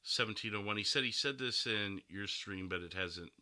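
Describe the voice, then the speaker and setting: A man's voice: lowish voice, moderate pace, bright, forceful tone, no echo. A man hosting a casual gaming podcast, rambling and thinking aloud as he talks. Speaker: podcast host and game streamer.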